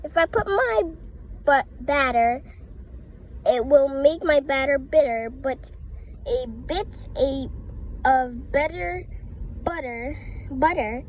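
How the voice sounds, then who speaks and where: High-pitched woman reading aloud, slow and halting. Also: animated, a little hiss or hum, poor audio. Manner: halting, stumbles over words. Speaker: reader of children's poems. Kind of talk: reading playful rhymes and tongue twisters aloud.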